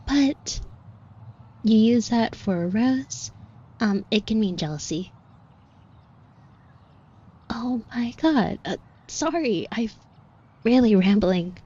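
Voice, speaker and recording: A woman playing a shy, flustered florist with a crush in an audio roleplay, speaking softly and breathily in a hesitant, apologetic way. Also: on the high side, animated, takes it slowly, long pauses, husky, highs cut off, full character voice. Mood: nervous rambling. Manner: hesitant, stammering, rambling, apologetic. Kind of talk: intimate roleplay audio acting.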